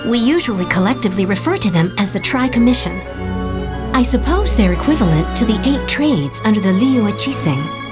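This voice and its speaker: A soft-spoken young wandering samurai. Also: male voice, sits high, animated. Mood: grave. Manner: soft-spoken, measured, reflective. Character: young male wandering samurai, calm and reflective. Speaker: wanderer from abroad. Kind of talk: video game dialogue. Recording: game audio with music underneath.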